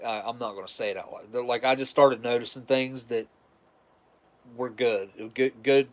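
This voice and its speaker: A man with a stuffed-up, nasal voice, talking casually and rambling on a livestream as its host. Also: mid-range voice. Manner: rambling, restarts often, conversational.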